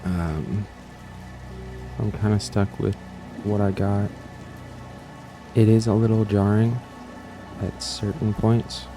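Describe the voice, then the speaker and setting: A man's voice: on the low side, little pitch movement, slow, pauses often, over music, rough recording. A man introducing his own audio story to viewers in a casual, rambling, offhand way, repeating himself and trailing off. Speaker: creator and host of an audiobook channel.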